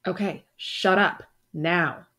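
A woman playing a breezily confident young sidekick in a motion comic, husky and flippant. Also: on the low side, bright, forceful tone, heavily acted. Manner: flippant, brisk retorts.

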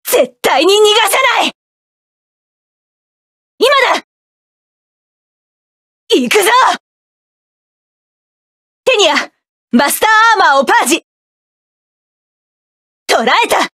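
A woman's voice: very high voice, lively intonation, takes it slowly, pauses often, a bit bright, no room sound, studio quality, heavily acted. An actress playing a fierce, commanding young female mecha pilot who calls out attack orders in battle. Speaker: game character. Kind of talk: video game battle voice lines.

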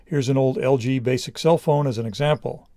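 A middle-aged man reading a scripted product review in a measured, explanatory way. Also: medium pitch, fairly even intonation, mellow, no room sound, clean studio sound.

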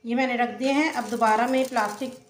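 A woman with a Pakistani Urdu accent hosting a cooking channel, calmly narrating each step of a recipe as she works. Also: on the high side, quick pace, a little hiss or hum.

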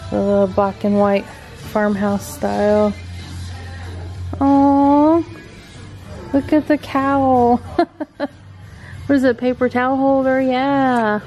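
A woman's voice: high voice, fairly even intonation, slow, pauses often, mellow, faint music behind, low-quality recording. A middle-aged woman, filming a chatty shopping vlog in a home decor store and gushing over cute items on a handheld camera. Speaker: vlogger. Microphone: handheld camera mic.

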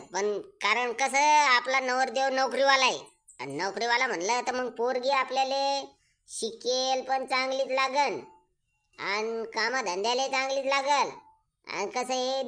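A man's voice: very high-pitched, pushed and bright, full character voice. A man with a rural Maharashtrian accent voicing a greedy, boastful village father in a comic cartoon, haggling insistently in folksy speech.

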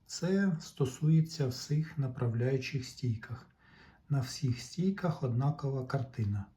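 Male repair vlogger, unhurried and soft-edged, explaining technical details casually with halting pauses; slightly muffled audio. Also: medium pitch, expressive. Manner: deliberate, halting, pauses mid-sentence. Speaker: tape recorder repair vlogger.

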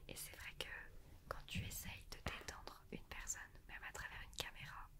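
A young adult woman, whispering casually as an ASMR creator and guest. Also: moderate pace. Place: small indoor studio with sofa. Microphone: shared desktop condenser mic, close.

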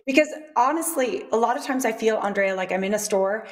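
A woman's voice: a little high, fairly quick. A woman co-hosting a daytime TV show, asking questions in an upbeat, enthusiastic way. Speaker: morning show co-host. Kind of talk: daytime TV interview. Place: studio set.

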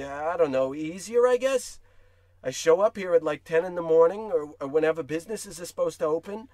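A man's voice: high voice, a little mellow, dry recording, lightly acted. A man playing a folksy, self-pitying, envious small-town shopkeeper in a comic radio play. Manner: folksy, eager, whiny.

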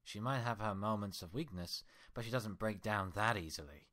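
A man's voice: fairly low pitch, moderate pace, fairly bright tone, lightly acted. A man voicing the sarcastic, mocking mirror reflection of a female unicorn. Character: sneering mocking mirror twin of a unicorn mare.

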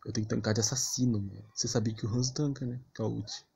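A man's voice: fairly low pitch, fairly even intonation, very fast, vocal fry, mellow, slightly rough. A man with a Brazilian accent giving casual, slangy, blunt gaming commentary with mild cursing. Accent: Brazilian Portuguese. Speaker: gameplay streamer.

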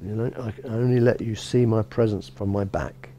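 Mellow-voiced bishop chatting a sermon. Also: male voice, on the low side, steady tone, brisk, highs cut off, a little hiss or hum. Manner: conversational, many restarts and "you know". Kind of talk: informal sermon. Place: small church with a lectern.

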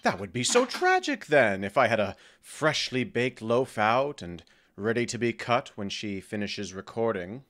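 A man's voice: mid-range voice, very animated, a little slow, fairly bright tone. A man playing a male fairy, a petty, wounded lover, with arch, passive-aggressive delivery in a conversational audio drama. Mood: petty, aggrieved.

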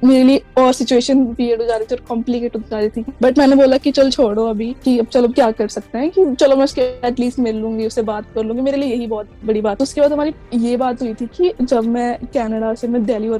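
High-voiced woman, mellow, over music. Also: fairly even intonation, fairly quick, few pauses. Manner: mixes in English phrases, aggrieved. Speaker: caller telling her story. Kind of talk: casual personal account on a call. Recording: recorded call with music behind.

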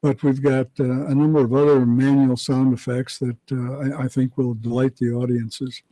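A man's voice: mid-range voice, level tone, moderate pace, mellow, no echo. An older man, a radio historian, explaining things as a studio guest. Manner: explanatory, lecturing. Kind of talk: interview guest. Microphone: studio microphone.

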